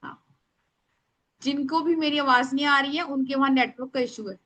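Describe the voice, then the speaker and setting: A woman with a loud, strong voice, teaching an informal live online class in an instructive, emphatic way, mixing in English words. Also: high-pitched, steady tone, moderate pace, poor audio. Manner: instructive, emphatic, addresses listeners by name; mixes English words into speech. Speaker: astrology remedies teacher.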